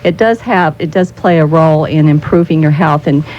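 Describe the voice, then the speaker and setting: Low-voiced American woman, muffled noisy radio. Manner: halting, often repeating and rephrasing phrases. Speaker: nutrition council spokesperson, interview guest. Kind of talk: radio public affairs interview, explanatory. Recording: muffled 1990s radio recording with noise.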